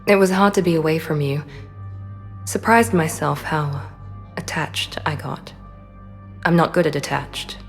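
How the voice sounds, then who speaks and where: An actress playing a poised, guarded former operative in a video game, speaking in a controlled, understated way with quiet vulnerability. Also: female voice, sits low, steady tone, unhurried, gravelly, big-room sound, faint music behind. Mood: guarded, tender. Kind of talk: dramatic video game acting.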